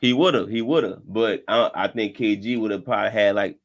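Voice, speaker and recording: A man co-hosting a casual sports podcast, relaxed and steering the topics, often repeating his words. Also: lowish voice, fairly quick. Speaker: podcast co-host. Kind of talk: casual sports debate chat.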